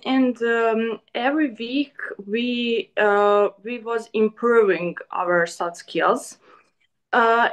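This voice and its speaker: Slow, hesitant woman. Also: on the high side. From Serbia. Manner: hesitant, many "uh" pauses. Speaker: former master's student and business owner. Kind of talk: panel interview answer.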